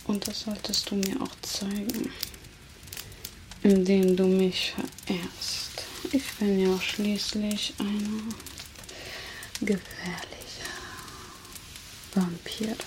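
A woman voicing a teasing, menacing female vampire captor in a soft, slow ASMR whisper. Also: mid-range voice, level tone. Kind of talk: ASMR roleplay. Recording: noisy hiss under close whisper.

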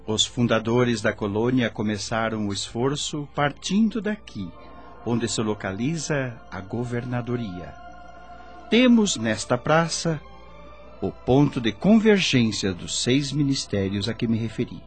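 A slow, solemn, expressive spirit guide. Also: male voice, medium pitch. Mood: earnest, reverent. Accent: Brazilian Portuguese. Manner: solemn, measured explaining. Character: kindly male spirit guide, earnest, reverent veteran helper. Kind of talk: Brazilian radio drama acting, didactic and declamatory. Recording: radio drama with music bed. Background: faint music.

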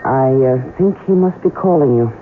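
Casting: cool female accomplice, sardonic and questioning, expressive pitch, noisy 1970s radio drama. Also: normal speed, phone-quality sound. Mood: anxious, probing. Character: cool, skeptical female partner in a swindle. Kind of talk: old-time radio drama acting.

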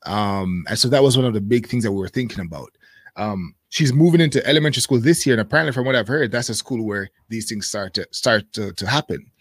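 A man's voice: on the low side, quick pace, no room sound. Thoughtful man with a dry close sound. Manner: thoughtful, hesitant, many fillers. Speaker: interview guest. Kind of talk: casual interview conversation.

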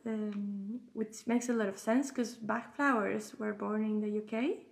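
Gravelly-voiced Chilean woman, laughing often. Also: medium pitch, fairly even intonation, unhurried. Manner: laughs often, hesitant fillers. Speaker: guest, flower essence therapist. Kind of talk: casual interview chat.

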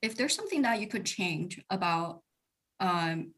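A woman's voice: fairly low pitch, expressive, measured pace, a bit bright, breathy edge. A young woman interviewing a researcher, posing thoughtful questions with lots of hedging.

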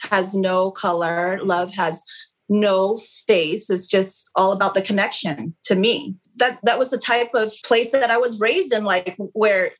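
A woman whose first language is Khmer speaks as an interview guest at a medium pitch. She talks frankly and with animation, often going back to restart a phrase. The feel is a candid, casual conversation. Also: measured pace, poor audio. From Long Beach, California. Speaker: interview guest, real estate agent.

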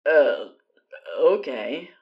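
An agitated, evasive man phoning for help in an audio story, played by a woman. He is embarrassed and strained, speaking in curt, hesitant bursts at a lowish pitch for the performer. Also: expressive, extremely slow, lo-fi sound, full character voice. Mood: agitated, guarded.

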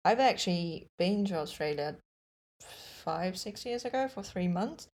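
Young woman from Taiwan, slow speech, low-ish voice. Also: animated, a little mellow. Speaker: podcast guest. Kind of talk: casual podcast chat.

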